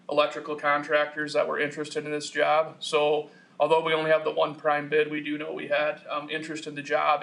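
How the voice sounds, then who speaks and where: A man presents a formal meeting report in a slightly high, gravelly voice. His intonation is extremely flat, and his delivery is measured, halting and businesslike. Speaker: project engineer presenting to a commission.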